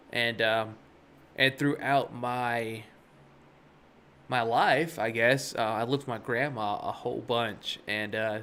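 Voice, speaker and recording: A young man hosts a day trading live stream, talking casually into a desk mic. He rambles and drifts into asides, leaning on fillers as he goes. His voice sits in an ordinary middle pitch. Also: fairly bright tone. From Miami, USA. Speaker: day trading livestream host.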